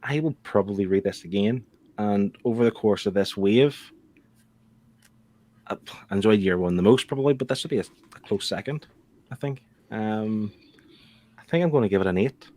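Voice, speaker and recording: A man with a somewhat low voice gives a casual review as a panelist. He sounds tentative, working out his thoughts aloud as he talks. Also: normal speed, long pauses, a little mellow. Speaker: book club panelist.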